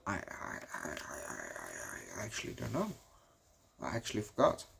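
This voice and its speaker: A man with a Dutch accent, a native Dutch speaker, casually rambling through a movie review, swearing often and filling pauses with "you know". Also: deep voice, wildly swooping pitch, vocal fry, a bit bright, slight background noise. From Netherlands.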